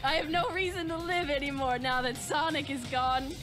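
A woman's voice: high voice, normal speed, close to an everyday voice. A woman voicing a brash, defiant young cartoon girl in an improvised comedic game fandub, sassy and profane. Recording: voice under loud game music.

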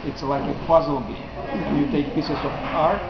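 A middle-aged man with a Russian accent, presenting a project to a small group in a halting, chatty way, filmed by a camera across a large wood-beamed hall. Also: a little high, steady tone, creaky. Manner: halting, restarts phrases, chuckles at own jokes. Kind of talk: informal presentation to seniors. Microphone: far camera. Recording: dull roomy camcorder audio, loud hum.

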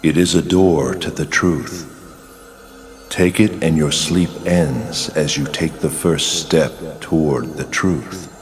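A disembodied video game presence speaks from a cavernous reverb with music behind it. The voice is extremely deep and male, solemn and ominous, with slow, measured, cryptic phrasing. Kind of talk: English video game cutscene voice acting.

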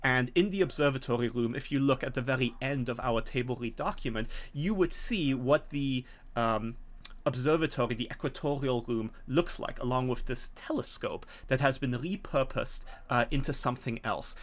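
A middle-aged man running a tabletop game as game master, narrating haltingly with frequent pauses over a video call. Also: medium pitch. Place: home room, indoors.

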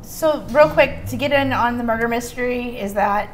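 A woman's voice: a little high, normal speed. A woman co-hosting a casual chat with short, playful remarks. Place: small bar room, indoors. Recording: roomy echo, some room noise.

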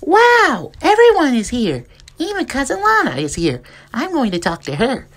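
A plucky little cartoon rabbit girl acted by a woman, pitched high and bouncing around in tone. She is chirpy and eager, exclaiming and pleading as she goes. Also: huge pitch swings, normal speed, full character voice. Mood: excited, bold. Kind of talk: amateur cartoon voice acting.

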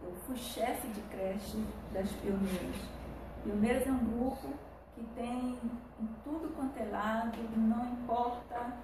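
An older woman from northern Brazil giving personal testimony as a panel guest, searching for words as she goes. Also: a little high. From Pará, Brazil; moved to Israel at sixteen. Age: older, grandmother. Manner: halting, searching for words in rusty Portuguese. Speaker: peace activist, panel guest. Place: small indoor meeting room, seated. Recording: echoey room, distant mic, clear noise.

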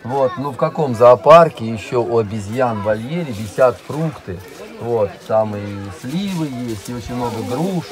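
A middle-aged man giving a casual guided tour in short, unhurried phrases with frequent pauses. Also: mid-range voice, lively intonation, over music. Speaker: zoo director giving an interview and tour. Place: open cab of a small vehicle driving through an outdoor park.

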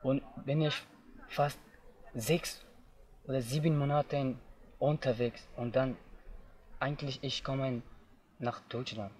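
A young man from Afghanistan, telling his own story outdoors in simple, halting learner's German. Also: mid-range voice, steady tone, darkish tone, slight background noise. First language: not German. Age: young adult. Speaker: interviewee. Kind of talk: personal testimony. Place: outdoors in tall grass.

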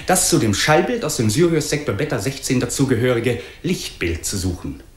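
An earnest man playing a radio announcer of the 1950s delivers scripted copy with formal precision. His intonation is very lively at a middling pitch, in a roomy vintage radio recording. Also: natural voice. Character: earnest male radio announcer presenting mock-scientific report.

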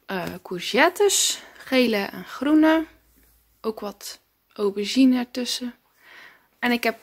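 A woman, narrating a casual home vlog in a hesitant, unhurried way on a phone. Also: fairly high pitch. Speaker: vlogger showing her kitchen garden. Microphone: phone at arm's length. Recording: phone recording with faint background noise.

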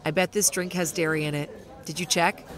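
A woman's voice: lowish voice, fairly even intonation, brisk, a bit bright, natural voice. A woman playing a curious, chatty young woman in an amateur audio drama, speaking in an inquisitive, conversational way.

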